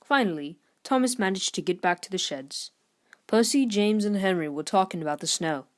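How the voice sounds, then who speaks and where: A woman reads a children's story aloud in an expressive scripted narration style. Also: medium pitch, normal speed. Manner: expressive, lifts voice for character dialogue. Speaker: storybook reader.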